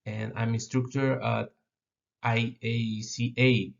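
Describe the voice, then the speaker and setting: Slow, gravelly Brazilian man presenting. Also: medium pitch, level tone, lo-fi sound. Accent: Brazilian Portuguese. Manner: halting non-native English with fillers and restarts. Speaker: conference speaker. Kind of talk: conference presentation.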